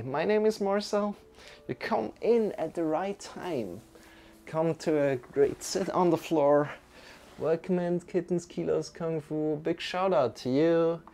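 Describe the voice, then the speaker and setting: A man's voice: on the high side, gravelly, a little mellow. A young man with a Swiss accent, hosting a live-streamed yoga class and greeting viewers in a loose, friendly way with frequent restarts. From Switzerland.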